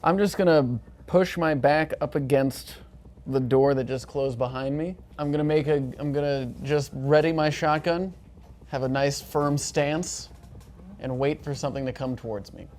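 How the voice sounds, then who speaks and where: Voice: mid-range voice, moderate pace, a little mellow, slight background noise. A playful player in a tabletop role-playing game, chatting casually and joking while describing moves. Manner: playful, jokey.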